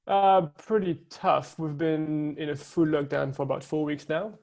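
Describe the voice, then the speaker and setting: A man's voice: fairly high pitch, fairly even intonation, measured pace, gravelly, darkish tone. A man with a French accent, a co-founder guest speaking hesitantly with many fillers. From France. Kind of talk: casual interview talk.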